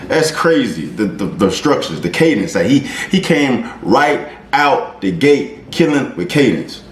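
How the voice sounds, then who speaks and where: A man hosting a reaction video, talking casually and excitedly, swearing often and restarting his sentences. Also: medium pitch, measured pace, reverberant, background noise.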